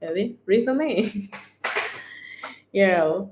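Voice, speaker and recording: A woman with a Thai accent hosting a beauty livestream, chatting casually as she demonstrates products and pitches discounts. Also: animated, drawn-out words, background noise. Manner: chatty, keeps repeating "right here", upbeat sales talk. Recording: echoey room.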